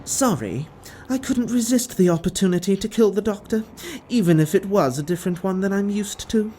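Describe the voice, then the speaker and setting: A man's voice: high voice, animated, a little fast, warm tone, a little hiss or hum, voice pushed up. A man voicing a gleeful, gloating young alien villainess in an audio drama, with a taunting, mock-apologetic manner.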